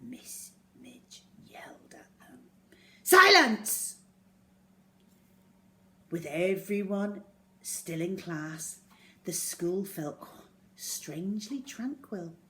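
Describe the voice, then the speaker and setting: A woman reading a children's story aloud in an expressive way, acting out the words as she goes. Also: on the low side, takes it slowly, in a whisper. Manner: expressive, acts out dialogue and sound words.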